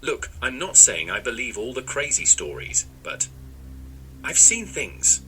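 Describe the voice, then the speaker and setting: Actor as animated, shaken small-town man. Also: mid-range voice, a little slow, slight background noise, heavily acted, low-quality recording. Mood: shaken, earnest. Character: shaken male small-town resident recounting strange sighting. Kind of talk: scripted mock-interview testimony in audio drama.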